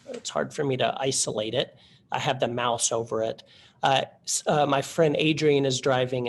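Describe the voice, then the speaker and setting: Earnest male presenter on a computer mic, slightly high voice, conversational with fillers, faint background ambience. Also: a little hiss or hum. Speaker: workshop presenter. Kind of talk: webinar storytelling to instructors.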